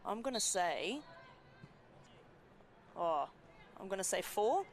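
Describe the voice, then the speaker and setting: A woman's voice: medium pitch, big pitch swings, takes it slowly, a little hiss or hum. A woman, a goalkeeper, giving chatty, self-deprecating match commentary with frequent laughter at an outdoor stadium. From Greek background.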